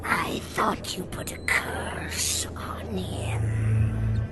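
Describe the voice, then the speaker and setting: A woman playing a sly, deferential wolf servant in a dramatized fantasy story, in a breathy whisper. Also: very slow, over music. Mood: hushed, questioning.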